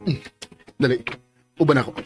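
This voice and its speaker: A man's voice: medium pitch, moderate pace, faint music behind, natural voice. A Filipino actor playing an earnest, serious man in a romantic radio drama, speaking insistently. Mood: serious, pleading. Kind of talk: Philippine radio drama acting.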